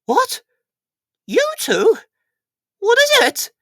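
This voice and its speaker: A man voicing an excitable, easily frightened female talking plant, with shrieks and stammers, in a children's story. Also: very high-pitched, extremely slow, no echo, clean studio sound, voice pushed up, heavily acted. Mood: puzzled.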